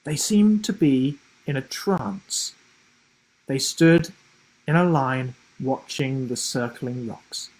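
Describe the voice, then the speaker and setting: Male author-narrator for children's fiction: slow, deliberate storytelling, breathy husky tone, expressive delivery. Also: mid-range voice, poor audio. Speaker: author reading his children's book.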